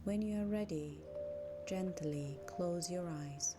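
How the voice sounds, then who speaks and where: A woman guides a meditation at an extremely slow pace over prominent background music. Her voice is on the low side, and she speaks gently in short, measured phrases. Long pauses separate each instruction.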